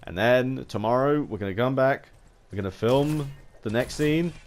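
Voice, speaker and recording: A British man giving a rambling video game commentary, haltingly, often repeating and rephrasing his phrases as he goes. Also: medium pitch, a little hiss or hum.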